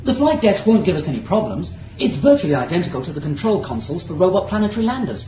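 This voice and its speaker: An actor playing a keen, questioning young spaceship crewman in a radio drama, brisk, persuasive and insistent. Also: high-pitched, sing-song, mellow. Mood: keen, technical. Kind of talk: 1980s radio drama acting. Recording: dull roomy old radio recording, light noise.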